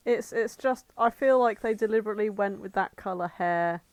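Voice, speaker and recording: A woman co-hosting a podcast in casual chat, excitable, giggly and blunt, with swearing. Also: mid-range voice, a little fast. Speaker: podcast co-host. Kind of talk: casual chat about a TV series.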